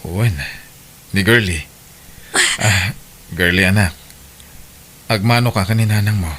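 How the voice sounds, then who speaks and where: A man's voice: fairly low pitch, measured pace, a bit bright, slight background noise. A man with an Ilocano Filipino accent playing an earnest provincial farmer and father in an audio drama. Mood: excited, surprised.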